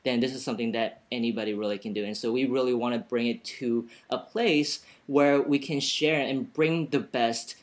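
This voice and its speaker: Male co-host, first language Chinese, earnest and rambling delivery on a video call. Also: mid-range voice. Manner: earnest, many restarts and fillers. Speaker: seminar co-host. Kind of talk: casual video-call promo chat.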